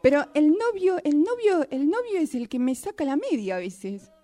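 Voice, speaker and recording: A woman voicing a weary, overused sock in a halting, repetitive, plaintive radio-theatre monologue. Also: sits high, sing-song, mellow. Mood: complaining. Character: weary, overused female sock complaining about its owner.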